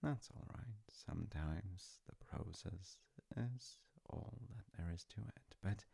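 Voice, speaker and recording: A man acts out a middle-aged dad in a slice-of-life audio roleplay. His voice is low with a heavy gravelly creak. He talks slowly in short, thoughtful phrases, supportive and gently teasing. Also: exaggerated voice. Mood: gently encouraging.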